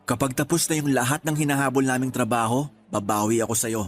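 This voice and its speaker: A man's voice: medium pitch, flat delivery, fast talker, slight background noise, natural voice. A man with a Filipino accent playing a devoted, teasing young boyfriend in a conversational radio drama. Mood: tender, teasing. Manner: sweet-talking, reassuring.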